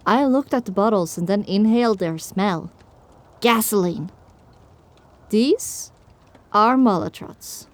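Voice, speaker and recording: A woman's voice: mid-range voice, takes it slowly, pauses often. A woman narrating an audio drama in the first person with a dramatic, scripted delivery.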